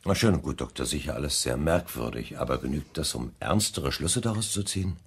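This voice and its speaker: A man acting as a shrewd chief inspector in a German radio crime drama, questioning in a deliberate, wry way. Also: very deep voice, animated, breathy edge, exaggerated voice. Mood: probing. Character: shrewd, probing male police chief inspector.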